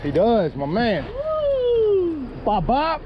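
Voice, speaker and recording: A man's voice: very high voice, huge pitch swings, drawn-out words, muffled recording. A man cheering and bantering playfully while fishing on a boat deck. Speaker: angler on the trip. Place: open deck of a boat at sea, outdoors. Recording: wind and engine noise outdoors.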